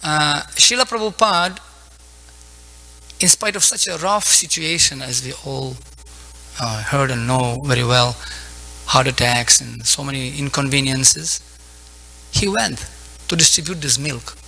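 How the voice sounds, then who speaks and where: Bright-voiced man preaching in halting phrases. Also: mid-range voice, gravelly, poor audio. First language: not English. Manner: halting, searching for words, short broken phrases. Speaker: preacher giving a scripture lecture. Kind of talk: devotional sermon with Sanskrit quotations.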